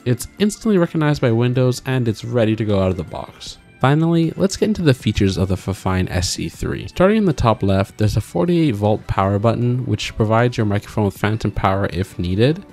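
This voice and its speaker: A man's voice: fairly low pitch, expressive, fairly quick, vocal fry, hardly any pauses, darkish tone. Man narrating a tech review over music. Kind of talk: scripted product review voice-over. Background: background music.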